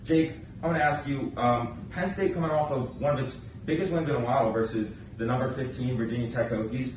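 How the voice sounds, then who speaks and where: A man's voice: medium pitch, fairly even intonation, brisk. A young man delivers a pregame sports introduction from some distance. His voice rings in a very echoey space, with faint ambient noise behind it. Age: young adult. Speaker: play-by-play sports broadcaster.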